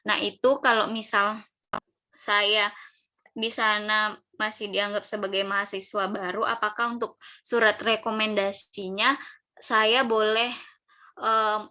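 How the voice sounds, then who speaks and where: A woman's voice: medium pitch, flat delivery, measured pace, pauses often, pushed and bright. A young woman asking questions politely and methodically at an online seminar, in a careful, deferential way. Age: young adult. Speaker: audience member asking questions. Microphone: online call, camera off. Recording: slightly dull video call audio.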